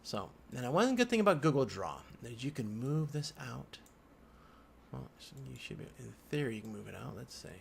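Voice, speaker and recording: Guy with a bit of gravel doing a casual screen tutorial, kinda mumbling to himself, faint noise and typing. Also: mid-range voice, sing-song, normal speed. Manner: thinks aloud, murmuring to himself. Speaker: instructor giving a software tutorial. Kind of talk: casual unscripted screen-recorded walkthrough. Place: indoors at a computer. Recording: background noise, keyboard typing and clicks. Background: keyboard typing and mouse clicking.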